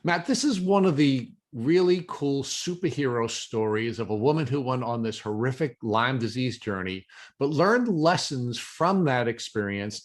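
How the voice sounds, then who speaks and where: An American man from New York hosts an interview podcast. His voice sits at an average pitch, and he phrases questions and introductions formally and deliberately. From New York, USA.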